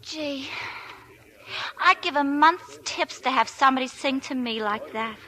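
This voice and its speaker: An actress playing a big-hearted, wisecracking young waitress with a Brooklyn accent in a 1940s radio play. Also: female voice, sits high, measured pace, over loud music. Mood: wistful. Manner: slangy, wisecracking.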